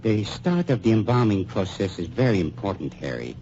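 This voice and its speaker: An actor playing a suave, sinister middle-aged funeral director, calm and menacing in 1940s radio acting style. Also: male voice, lowish voice, moderate pace, slight background noise. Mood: coldly amused. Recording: muffled 1940s radio recording.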